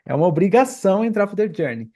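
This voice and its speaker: A man's voice: high-pitched, very animated, fast talker, darkish tone. Brazilian male podcast host, informal interviewer, chatty conversational delivery. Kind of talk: casual interview chat.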